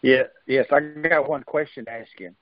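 Man, mid-pitched voice, casual talk, call participant, thin dry phone sound. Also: moderate pace, no echo, faint music behind. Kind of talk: casual conversation. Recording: narrow tinny phone line.

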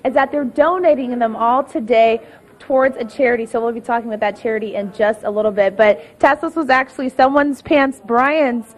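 A woman's voice: on the high side, normal speed, rough recording. A woman doing an upbeat live morning TV field report from a busy indoor gym, speaking into a handheld mic. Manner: upbeat, bright presenter delivery.

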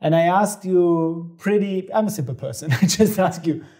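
Middle-aged male interview host, warm mellow tone, easygoing questioner. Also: high voice, normal speed. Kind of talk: interview hosting. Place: studio set with armchairs.

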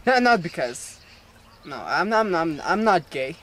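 A man's voice: fairly high pitch, big pitch swings, unhurried, fairly bright tone. A young man turning eighteen, chatting casually and jokingly in an outdoor playground vlog, recorded on a camera mic. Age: young adult. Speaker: vlogger. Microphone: camera mic at arm's length.

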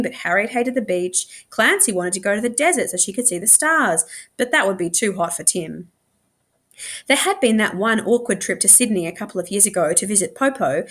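Female story reader, middle-aged, lively intonation for children's fiction. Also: mid-range voice. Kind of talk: expressive audiobook-style read-aloud.

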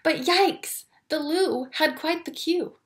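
High-pitched young woman reading a story. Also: slow, a little hiss or hum. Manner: expressive, theatrical. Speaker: teacher reading aloud. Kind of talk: animated children's story read-aloud. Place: small indoor home room. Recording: echoey small room.

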